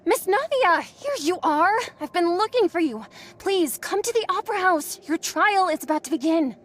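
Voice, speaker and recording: Very high, excitable townswoman. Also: moderate pace, slight background noise. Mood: eager. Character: eager, excitable young townswoman. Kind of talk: English video game voice acting.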